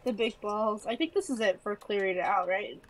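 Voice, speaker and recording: A woman's voice: mid-range voice, brisk. A congested woman playing a horror game with a friend, chatty and a little nervous, asking lots of questions. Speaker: co-op gaming partner. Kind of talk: casual gameplay chat.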